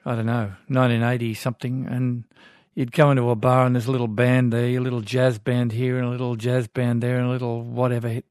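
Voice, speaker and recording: A man's voice: medium pitch, level tone, a little fast, hardly any pauses, darkish tone, no echo. An older Australian man hosts a talkback radio show, chatting in an easygoing, folksy way as he reads out letters from listeners. His voice sits in the middle of the usual male range. It is recorded close and dry in a studio. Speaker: radio host. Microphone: studio microphone.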